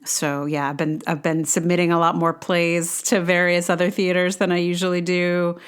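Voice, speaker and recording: Woman chatting solo on a podcast, lowish clear voice, kinda rambly and self-mocking. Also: little pitch movement, moderate pace. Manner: hesitant, rambling, self-deprecating humor. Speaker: podcast host, playwright and actor. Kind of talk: casual reflective solo podcast monologue.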